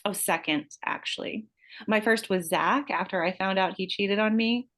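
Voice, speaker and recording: A woman's voice: medium pitch, moderate pace, a bit bright, close to an everyday voice. A woman playing a vain, unhinged beauty-queen murderer in a live screenplay table read, taunting and swearing with theatrical flair. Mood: smug, cold. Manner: taunting, profane, theatrical outbursts.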